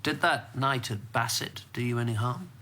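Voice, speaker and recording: An actor playing a calm British confidant of a politician in a naturalistic radio drama, brief and probing. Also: male voice, medium pitch, moderate pace, a little hiss or hum, natural voice.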